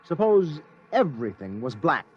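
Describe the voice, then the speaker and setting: An actor playing a polite, somewhat hesitant street reporter in a radio drama, asking tentative, probing questions. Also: male voice, fairly high pitch, big pitch swings, moderate pace, a little mellow. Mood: inquisitive, polite. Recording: muffled old radio, faint street noise.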